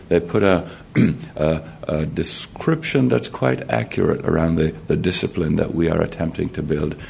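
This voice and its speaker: A man with a Jamaican accent giving a formal talk at a conference podium, measured and halting, often clearing his throat and restarting. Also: sits low, mellow, slight background noise. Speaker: conference speaker. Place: conference hall.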